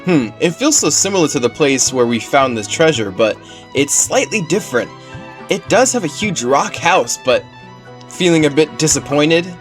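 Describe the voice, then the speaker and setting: A man's voice: a little high, very animated, normal speed, faint music behind. Guy playing a curious little fairy sidekick girl from a game, chatty and childish, lots of up and down. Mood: curious, puzzled. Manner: talks of self in third person, inquisitive.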